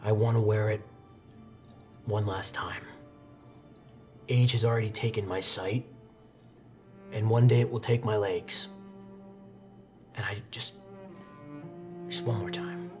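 A man voicing a frail, blind old warrior, proud and wistful, quiet and plain-spoken in a tabletop game. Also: fairly low pitch, fairly even intonation, takes it slowly, long pauses, faint music behind, voice pushed down.

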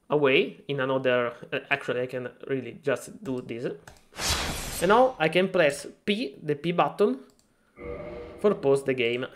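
A man with an Italian accent talks through a PC game tutorial in English, his second language, stumbling and restarting often. His voice sits a bit higher than most men's. A faint hiss and some clicks run under the recording. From Italy. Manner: hesitant, many fillers and restarts, self-deprecating. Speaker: gaming tutorial maker. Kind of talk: casual screen-recorded tutorial.

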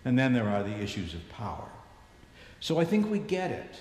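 A man in his sixties, a bishop giving a measured, reflective sermon in a large church sanctuary, his voice falling low at the ends of phrases. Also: huge pitch swings, darkish tone. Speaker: bishop preaching. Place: large church sanctuary, standing before the altar. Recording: echoey church with long reverb.

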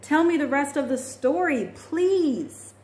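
A woman's voice: high voice, lively intonation, slow, a little mellow, voice pushed up. Woman reading as curious young storybook boy. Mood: eager, pleading. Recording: echoey room with faint noise.

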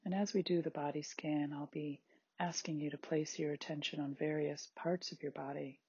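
A meditation guide with a husky, breathy, androgynous voice, giving gentle, unhurried body scan instructions in short spaced phrases. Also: mid-range voice, level tone, muffled recording. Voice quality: very husky, breathy, at times near-whispered.

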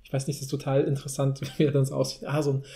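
A man's voice: mid-range voice, completely flat delivery, very fast, warm tone. A man hosting a podcast, retelling things in a casual, rambling, colloquial way. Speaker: podcast host.

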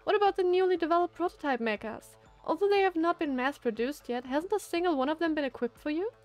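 A woman's voice: extremely high pitch, expressive, quick pace, a little mellow, faint music behind, pitched above the performer's own voice. A woman voicing a fiery, proud young woman scientist from a visual novel game, emphatic and indignant. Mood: exasperated.

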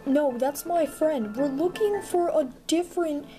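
A woman's voice: high-pitched, a little slow, over music, voice pushed up. A girl voicing a flustered, polite little dog. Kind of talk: homemade toy-figure drama.

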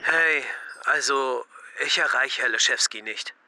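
A man's voice: mid-range voice, unhurried, very noisy, close to an everyday voice. A young man playing a laconic, tech-savvy teenage boy in an audio drama. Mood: flat, resigned.

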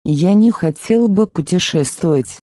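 A speaker slowly repeating short phrases for a language lesson, with careful, deliberate pronunciation. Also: mid-range voice, expressive, warm tone, no echo. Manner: slow, deliberate enunciation. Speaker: Russian phrase reader. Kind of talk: slow scripted phrase reading.

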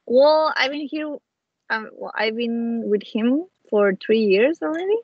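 A woman's voice: on the high side, lively intonation, unhurried, no echo. A young woman from Chile with a Chilean Spanish accent, speaking English as a second language in a casual chat, often restarting phrases while she hunts for words. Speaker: guest, actress. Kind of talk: casual video-call chat. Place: small room at home, indoors. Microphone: wireless earbuds on a video call. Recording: dull lo-fi call audio.

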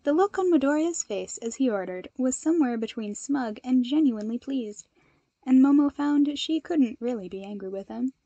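Bright-voiced woman reading a story. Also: sits high, lively intonation, moderate pace, dry recording, lo-fi sound. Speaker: fan fiction narrator and reader. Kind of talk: expressive storybook narration with character dialogue.

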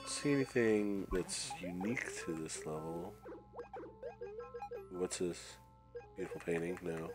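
A man commentating casually while playing a prison game, his voice raspy and nasal from a cold, thinking aloud as he plays. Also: deep voice, a little slow, pauses often. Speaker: gameplay commentator. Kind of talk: casual let's-play commentary. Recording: faint game music behind.

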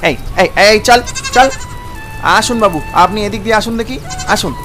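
A man's voice: high voice, moderate pace, music in the background, close to an everyday voice. A man voicing an eager, polite village goatherd boy in a cartoon, with coaxing, repeated urgings. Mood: eager, insistent. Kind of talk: cartoon voice acting.

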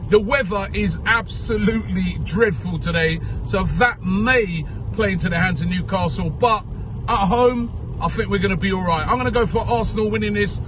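A man's upbeat voice from behind the wheel, set high for a man and heard from a little away from the mic. Car and street noise run clearly under him. He sounds hopeful and casual. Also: moderate pace, far from the mic. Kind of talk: casual vlog to camera. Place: car, driver's seat.

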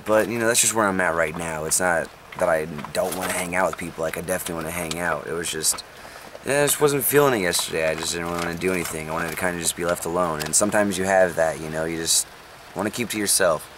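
A man's voice: low voice, fast. A young man chatting casually to the camera from inside his parked van, rambling with lots of ums and likes. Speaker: vlogger. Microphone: camera close up. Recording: faint rain and vehicle noise.

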